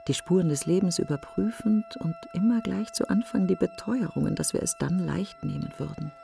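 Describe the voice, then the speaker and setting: An actress playing a grieving woman in a literary radio monologue, speaking in hushed, halting fragments. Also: mid-range voice, huge pitch swings, creaky, soft background music. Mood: mournful. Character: grieving woman brooding on her dead best friend.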